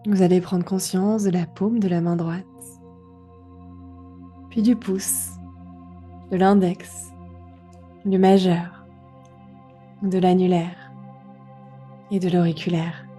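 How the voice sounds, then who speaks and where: A woman guiding a relaxation meditation, giving gentle, unhurried instructions with pauses. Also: fairly low pitch, steady tone, soft background music. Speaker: yoga nidra guide.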